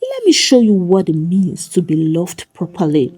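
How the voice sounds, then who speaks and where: Woman doing a playful young man wooing someone in a story, husky, super dramatic. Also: fairly low pitch, moderate pace, exaggerated voice. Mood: earnest, smitten. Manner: dramatic, flattering. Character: playful, smitten young male suitor.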